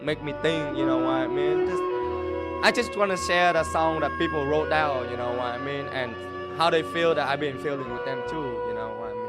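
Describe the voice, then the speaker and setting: A man talks informally, circling back to check he is understood. His voice sits a bit high for a man. Music is clearly heard behind him. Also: expressive, a little fast. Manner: informal, repeats "you know what I mean". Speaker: karaoke singer.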